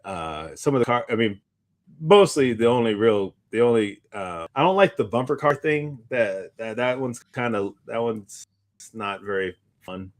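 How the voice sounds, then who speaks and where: A man's voice: fairly low pitch, expressive, measured pace. A man co-hosting a podcast, chatting casually in informal, slangy speech. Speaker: podcast co-host. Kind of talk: casual chat.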